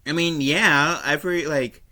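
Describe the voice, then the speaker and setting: A man giving casual opinion commentary as a video host, halting and often repeating and rephrasing himself. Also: fairly high pitch, fairly even intonation, sharp tone. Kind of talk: casual opinion commentary, reading an article aloud.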